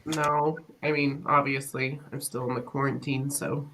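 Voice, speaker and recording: A woman's voice: a bass voice, level tone, a little slow, a bit bright, slight background noise, rough recording. A woman co-hosting a podcast in casual chat, laid-back, giving short replies and laughing easily. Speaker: podcast co-host.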